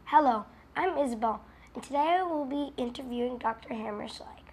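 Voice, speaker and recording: Young student reporter asking questions. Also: female voice, high-pitched, a little fast, a little mellow, slight background noise. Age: teenager. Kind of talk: reading interview questions.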